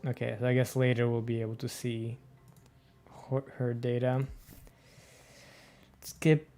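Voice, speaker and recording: A man's voice: medium pitch, little pitch movement, unhurried, warm tone. A man hosting a let's play, musing over the game in a hesitant, casual way. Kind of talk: casual gameplay commentary. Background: game music.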